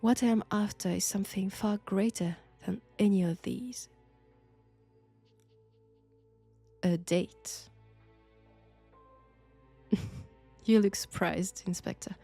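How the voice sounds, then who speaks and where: A woman with a French accent playing a sinister, flirtatious archaeology professor possessed by an ancient god, deliberate and teasing in an intimate audio roleplay. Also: mid-range voice, creaky, dark tone, faint music behind. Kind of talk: slow, intimate roleplay acting.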